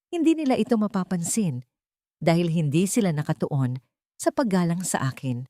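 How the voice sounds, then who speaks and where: A woman's voice: sits low, a little mellow, dry recording, clean studio sound. A woman reading a scripted dramatic story as its narrator.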